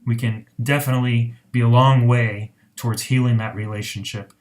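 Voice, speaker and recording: A man with a medium-pitched voice gives an informal talk as a marriage counselor. He sounds earnest and reflective. He leaves deliberate pauses between phrases as he explains things to the viewer. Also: little pitch movement.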